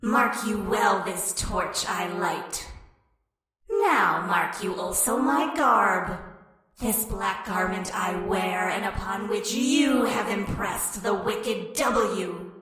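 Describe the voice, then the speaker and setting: A woman's voice: medium pitch, slow pace, fairly bright tone. A woman playing the vengeful ghost of an executed witch in radio horror, with menacing, theatrical, archaic declamation. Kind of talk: old-time radio horror acting. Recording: heavily echoing ghostly reverb.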